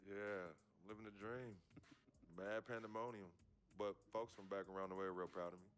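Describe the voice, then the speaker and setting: A male actor plays a young hoops star who came up in a tough neighborhood. His voice sits fairly low, with a casual, slangy, understated delivery. He is guarded and loyal, in video game story dialogue. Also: fairly even intonation, normal speed, slight background noise, natural voice. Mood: modest, easygoing.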